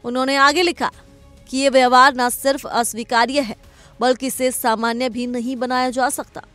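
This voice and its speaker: A woman's voice: high-pitched, flat delivery, moderate pace, fairly bright tone, no echo. A woman presenting a scripted entertainment news report as a narrator. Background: background music.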